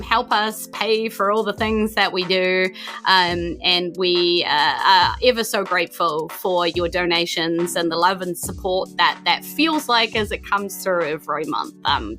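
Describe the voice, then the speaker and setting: A woman's voice: fairly low pitch, a little slow, bright, forceful tone, soft background music. A woman with a New Zealand accent, hosting and narrating a tabletop game, giggly and hesitant with many ums. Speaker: game master and host.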